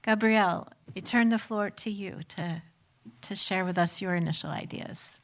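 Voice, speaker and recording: A woman's voice: medium pitch, moderate pace. A woman moderating a conference panel, giving formal, brief handovers to speakers.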